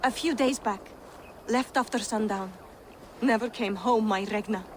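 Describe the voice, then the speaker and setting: Actress as anxious, fierce commoner mother, slightly high voice. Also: measured pace, a little hiss or hum, lightly acted. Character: frantic mother searching for her missing daughter.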